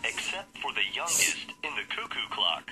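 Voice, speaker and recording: A man's voice: mid-range voice, very animated, normal speed. A man reading a scripted children's story as its narrator. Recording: music under the voice.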